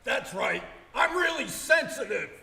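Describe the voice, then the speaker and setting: A man playing a touchy, menacing cop who plaintively claims to be sensitive, shouting in a live comedy radio play. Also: very high voice, big pitch swings, a little slow, vocal fry, full character voice. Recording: echoey hall with audience noise.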